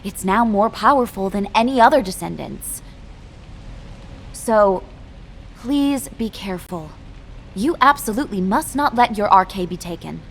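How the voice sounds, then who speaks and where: A woman's voice: medium pitch, animated, unhurried, pauses often, slight background noise. A woman playing a composed military officer in a sci-fi game, speaking in a formal, official manner. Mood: earnest. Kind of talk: formal scripted briefing.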